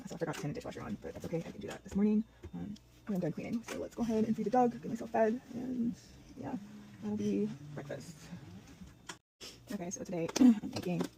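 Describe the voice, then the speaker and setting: A woman in her thirties with a hoarse voice, rambling casually through a day-in-the-life vlog at home, with many ums and restarts. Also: mid-range voice, fast, noisy background. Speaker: vlogger. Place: at home, kitchen and house.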